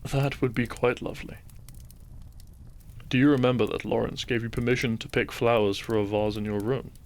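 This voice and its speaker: A man playing a gentle, devoted doctor and groom in a romantic audio roleplay, soft-spoken and measured. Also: medium pitch, fairly even intonation, lightly acted. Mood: gently teasing.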